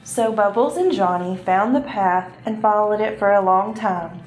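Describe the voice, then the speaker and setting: A woman's voice: mid-range voice, normal speed, a little mellow. A woman narrating a children's puppet show in a scripted storytelling style. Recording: echoey room with music behind.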